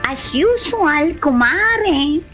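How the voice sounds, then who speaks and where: A woman's voice: high voice, very animated, very slow, full character voice. A woman acting in an Ilocano comedy drama as a scheming younger woman who sweet-talks her elderly sugar daddy, with an Ilocano Filipino accent. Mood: brazen. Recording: muffled audio with music behind.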